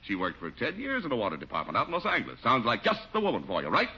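An actor playing a pushy, rapid-fire employment agency manager with a raspy voice in a 1940s radio comedy. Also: male voice, mid-range voice, wildly swooping pitch, pushed and bright, heavily acted. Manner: rapid-fire, finishes others' sentences. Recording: muffled old radio broadcast.

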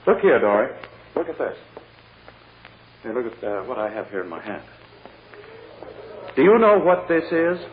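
An actor playing a sly, insistent prosecutor in a vintage radio drama, with theatrical, pointed and coaxing questioning. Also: male voice, mid-range voice, big pitch swings, normal speed, pauses often, lightly acted. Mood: sly, dramatic. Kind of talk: 1940s radio drama acting. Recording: tinny, noisy old radio recording.

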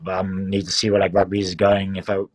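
A man's voice: low voice, completely flat delivery, quick pace, dry recording. A young Dutch man whose accent mixes Dutch with New Zealand English, answering in a laid-back, hesitant way with lots of "um" and "like". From Netherlands. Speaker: rugby player guest. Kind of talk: casual interview answers.

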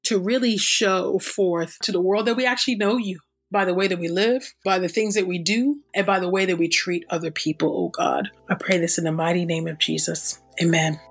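A woman's voice: on the low side, steady tone, breathy edge. Earnest woman reading scripture. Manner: measured, earnest, repeats "oh God" in prayer. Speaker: Bible reader. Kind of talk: scripture reading and spoken prayer.

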